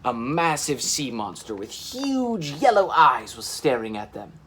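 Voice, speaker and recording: A man reading a children's story aloud in an expressive, dramatic storytelling manner. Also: medium pitch, measured pace, a little hiss or hum. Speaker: storytime reader. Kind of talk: animated children's audiobook-style storytelling.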